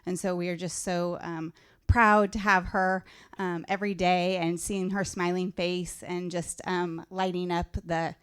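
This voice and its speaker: Low, clear-voiced woman giving a tribute. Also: level tone. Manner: heartfelt, halting. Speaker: school staff member honoring a colleague. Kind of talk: informal tribute speech.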